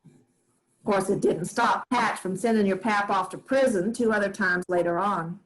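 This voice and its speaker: A woman's voice: mid-range voice, normal speed, lightly acted, rough recording. A woman reading a folksy grandmother. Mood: fond. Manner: drawling, homespun storytelling. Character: folksy old grandmother, rural storyteller. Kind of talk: staged play reading.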